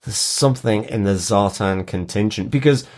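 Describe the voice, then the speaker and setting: A man co-hosting a podcast, talking through a TV recap in a casual, halting, measured way. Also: fairly low pitch. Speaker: podcast co-host.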